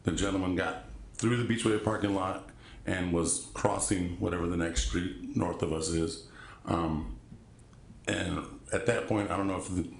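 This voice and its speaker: Deep gravelly man giving testimony. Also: steady tone, roomy sound. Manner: halting, polite, full of restarts. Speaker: trial witness. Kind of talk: courtroom testimony. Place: courtroom.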